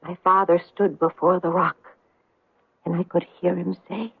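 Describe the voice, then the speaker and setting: An actress playing an earnest daughter who tells a wondrous tale in an old-time radio drama. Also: female voice, lowish voice, flat delivery, moderate pace, vocal fry, phone-quality sound, noisy background. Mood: rapt, reverent.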